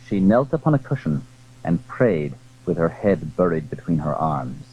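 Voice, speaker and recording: Low, husky male dramatic narrator. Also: big pitch swings, normal speed. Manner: formal storytelling. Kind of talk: dramatic scripted literary narration. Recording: muffled, narrow-band 1980s audio drama recording.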